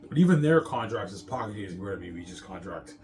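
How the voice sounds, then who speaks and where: A man's voice: lowish voice, sing-song, fast talker, a little mellow, faint music behind. A man in a casual, rambling monologue, boastful and repetitive as he circles back over his phrases. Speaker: self-styled film maker talking about his movie projects.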